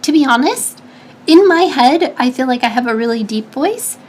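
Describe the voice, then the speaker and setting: High-pitched, slightly nasal woman streamer. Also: expressive, moderate pace, a little mellow. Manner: chatty, advice-giving, lots of restarts and fillers. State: stuffy nose. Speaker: streamer answering chat. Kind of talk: casual chat.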